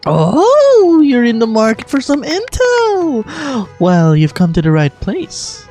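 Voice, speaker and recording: High-pitched greedy gremlin merchant. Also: female voice, wildly swooping pitch, dark tone, soft background music, full character voice. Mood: eager, greedy. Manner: wheedling, showy salesmanship, drawn-out words. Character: greedy, scheming little female gremlin merchant. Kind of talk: amateur video game voice acting.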